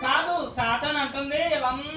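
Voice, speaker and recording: A woman's voice: high-pitched, fairly quick. A woman with a Telugu Indian accent hosting a live children's puppet show, asking questions and repeating lines to rally the children. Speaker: host of a children's Bible school puppet show. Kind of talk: live interactive children's presenting. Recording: echoey hall, distant mic, crowd and noise. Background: crowd of children.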